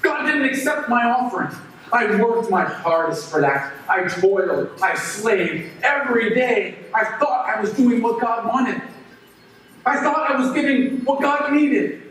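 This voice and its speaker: A bitter, jealous young farmer pours out his grievance in an impassioned stage monologue, as a man plays him. The voice sits high for a man and comes from well back from the mic. Heavy room echo surrounds it, with a faint hiss underneath. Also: a little slow, long pauses, fairly bright tone. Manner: impassioned, swings from brooding to ranting. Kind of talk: first-person dramatic monologue. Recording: very echoey hall, voice from far off, faint noise.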